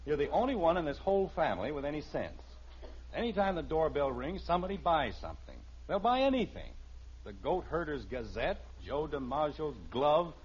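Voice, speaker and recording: A man's voice: on the high side, big pitch swings, brisk, husky. An actor with an American accent playing an exasperated, blustering middle-aged suburban father in a 1950s radio sitcom. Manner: blustering, flustered. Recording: dull, noisy old radio recording.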